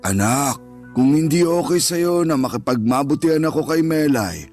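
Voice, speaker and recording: An actor playing a shy, smitten middle-aged father in a Tagalog radio drama, with a bashful, gentle manner. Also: a little high, expressive, moderate pace. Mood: gentle, earnest. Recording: music bed under the voice.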